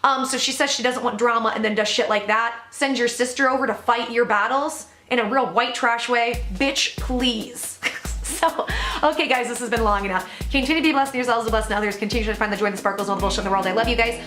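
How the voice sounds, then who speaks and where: A woman hosting a commentary vlog update, blunt, profane and emphatic, with a loud voice that sounds worn from overuse. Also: on the high side, fairly even intonation, fast, faint music behind. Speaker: commentary channel host. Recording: echoey room.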